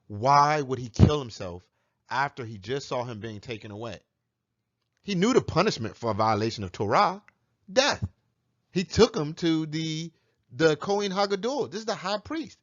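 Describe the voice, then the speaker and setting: A man's voice: mid-range voice, no echo. A man teaching on scripture in a monologue, arguing his point with rhetorical questions. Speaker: religious teacher. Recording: dull lo-fi dry recording.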